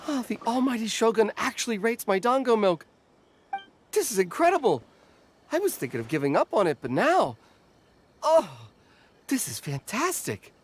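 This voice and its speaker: A woman's voice: on the high side, big pitch swings, normal speed, pauses often, slight background noise. A woman playing a humble, earnest food-stall vendor in a video game, hesitant and deferential, with music behind. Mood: amazed, delighted. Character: nervous, humble female food-stall vendor, proud of her wares.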